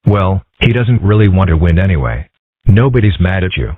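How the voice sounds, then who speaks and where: A man voicing a calm, erudite young fox in a comic strip cartoon dub, husky and deadpan. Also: low voice, normal speed, dry recording. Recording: muffled phone-like lo-fi audio.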